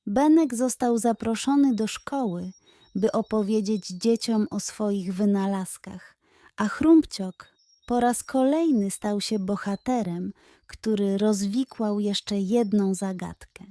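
A woman's voice: mid-range voice, slow, dark tone, no room sound. A woman narrating a scripted children's bedtime story as the storyteller.

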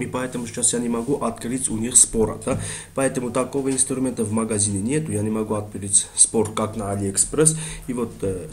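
A man with a non-native Russian accent who talks haltingly, full of fillers and restarts, doing a screen-recorded shopping review. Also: on the low side, darkish tone, a little hiss or hum. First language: not Russian.

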